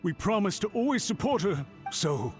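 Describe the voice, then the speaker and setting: A man's voice: high voice, big pitch swings, normal speed, faint music behind. A man playing an anxious, deferential retainer in a video game, speaking in a formal, earnest and apologetic way. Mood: desperate, apologetic. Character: anxious, deferential male Kanjo retainer, contrite and pleading.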